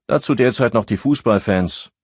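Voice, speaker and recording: A man's voice: mid-range voice, normal speed, husky, no echo, studio quality, voice pushed up. A man voicing an evasive male pub landlord who pretends to know nothing. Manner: evasive, feigned innocence.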